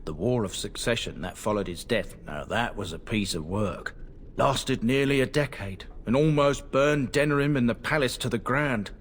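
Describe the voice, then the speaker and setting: A man's voice: mid-range voice, moderate pace, slight background noise, lightly acted. An actor playing a garrulous, uneasy male guide in a fantasy game, talking informally. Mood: wistful, chatty. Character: chatty, uneasy male merchant guide, history buff. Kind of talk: fantasy video game dialogue.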